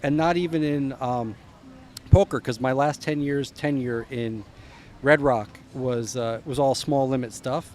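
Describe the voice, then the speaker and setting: A man's voice: medium pitch, fairly even intonation, measured pace, darkish tone. A middle-aged man from New Jersey, chatting as a podcast guest, telling long stories, dropping names and trailing off with fillers. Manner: rambling storyteller, drops names, trails off with "uh". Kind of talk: casual chat.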